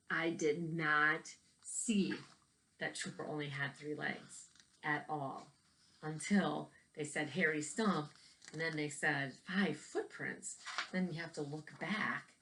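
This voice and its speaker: A woman reading a picture book aloud to children, pausing to explain and ask them questions. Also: deep voice, a bit bright, a little hiss or hum. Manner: questioning, explains to young listeners. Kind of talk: read-aloud storytelling with asides and questions to children.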